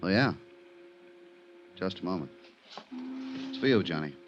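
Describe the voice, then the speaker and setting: An American man acting a steady, seasoned, plain-spoken police inspector in an old radio drama. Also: low-pitched, a little slow, highs cut off, faint music behind. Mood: doubtful, thoughtful. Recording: noisy old radio recording.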